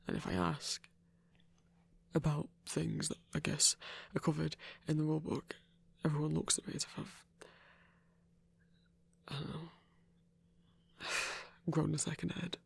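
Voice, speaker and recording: A man performs a lone werewolf hunter, gentle and socially awkward, for an ASMR audio drama. The voice is very husky and breathy, with a creaky edge. He speaks slowly, stopping and restarting as he searches for words. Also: fairly high pitch, fairly even intonation, full character voice. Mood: hesitant, awkward. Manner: halting, hesitant, stammering. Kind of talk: intimate ASMR audio roleplay.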